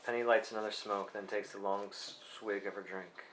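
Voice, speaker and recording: With faint noise behind him, a man with a slightly low voice reads stage directions. His delivery is plain scripted narration with small stumbles, as at a live table read. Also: fairly even intonation, brisk. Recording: faintly noisy live room recording.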